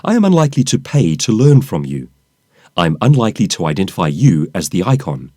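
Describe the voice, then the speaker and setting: Animated man narrating, very wide-ranging pitch. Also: a little fast, no echo, studio quality. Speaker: author and professional speaker reading own audiobook. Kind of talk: scripted audiobook narration.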